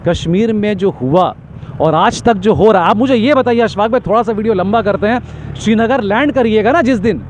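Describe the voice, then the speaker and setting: A man's voice: high voice, a little mellow, slight background noise. A man giving an impassioned street interview, talking emphatically in long repetitive lists and stammering when agitated.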